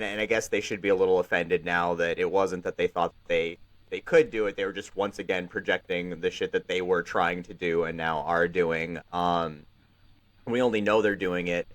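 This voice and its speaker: A man's voice: low voice, a bit bright. A man hosting a casual political podcast, sarcastic and profane, laughing between remarks. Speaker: podcast host.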